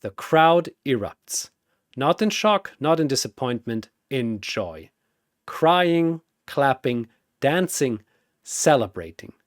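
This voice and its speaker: A man's voice: medium pitch, very animated, takes it slowly, no room sound, clean studio sound. A man delivering dramatic scripted story narration in theatrical, suspenseful short phrases.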